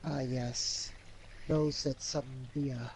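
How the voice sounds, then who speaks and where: A man playing a tabletop roleplaying game, speaking casually in character and haltingly thinking aloud. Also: medium pitch, fairly even intonation, slight background noise.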